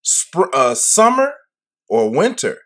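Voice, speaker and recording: A man's voice: a little high, wildly swooping pitch, takes it slowly, a bit bright. A man hosting a podcast interview, upbeat and hyped, often repeating his phrases. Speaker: podcast host. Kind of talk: casual interview chat.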